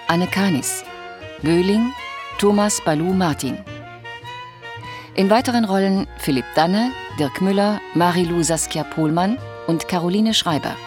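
A woman announcer reading radio play credits in a scripted, measured style. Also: mid-range voice. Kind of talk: scripted narration.

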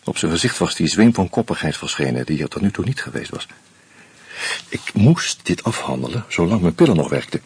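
An actor narrating in first person as a weary man on pills, in a husky voice with tense, terse sentences. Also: low voice, big pitch swings, fairly quick, a little mellow, exaggerated voice. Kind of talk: radio play narration.

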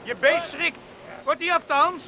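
A man's voice: extremely high pitch, steady tone, measured pace, vocal fry, full character voice. An actor playing an eager, loyal young village crewman of a lifeboat in a radio play. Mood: eager, insistent. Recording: loud storm and vehicle-like noise.

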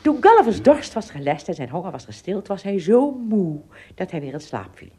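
A woman with a lowish, mellow voice tells a children's story. Her delivery is animated, full of dramatic pauses and small asides, with a slightly husky tone. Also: a little hiss or hum. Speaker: narrator. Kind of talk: scripted children's story narration.

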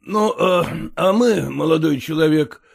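A man's voice: fairly high pitch, measured pace, vocal fry, no echo, studio quality, pitched above the performer's own voice. A man voicing a stern older general who bargains curtly and gives orders. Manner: stern, curt. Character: stern older male general, curt and commanding.

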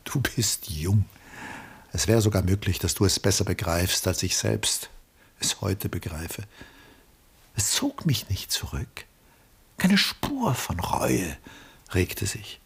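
Older man in a radio play, really breathy husky voice, gravelly, reflective, confessing an old love. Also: low-pitched, sing-song, in a whisper, full character voice. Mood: resigned, frank. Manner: measured, confessional, literary phrasing. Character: ageing man, reflective former lover confessing to his son. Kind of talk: 1960s German radio play acting.

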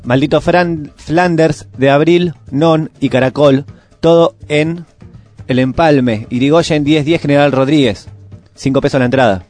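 A man's voice: on the high side, unhurried, a little mellow. A man with a Rioplatense Argentine accent presenting a radio show, reading scripted music news and listings, sometimes stumbling over words. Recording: music playing behind the voice. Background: music bed.

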